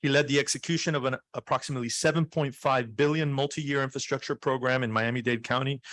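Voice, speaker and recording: A man's voice: mid-range voice, a bit bright, no room sound. Man formally introducing a speaker. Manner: formal reading with fillers. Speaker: event host introducing a speaker. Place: conference hall.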